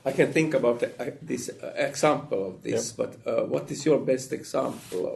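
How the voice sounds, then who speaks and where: A man's voice: mid-range voice, moderate pace, creaky, a little mellow. A man from the audience asking the lecturer a question, hesitant and full of fillers.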